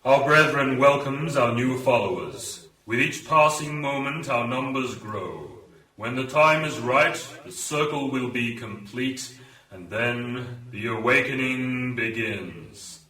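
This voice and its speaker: A man playing a solemn cult leader in an amateur radio play, addressing followers in a slow ritual manner. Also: medium pitch, sharp tone. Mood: solemn, ceremonial. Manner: slow, ritual, incantatory delivery. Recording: echoey, distant from the microphone.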